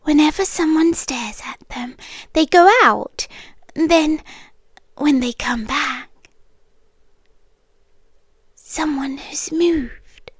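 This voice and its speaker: A British woman playing a tender, eerie, possessive doctor in a whispered ASMR roleplay, speaking softly and often dropping into a whisper. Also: high voice, no echo. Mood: hushed, wistful. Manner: soft, hushed, unhurried, often whispering. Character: eerie, tender, possessive female doctor haunting an empty hospital.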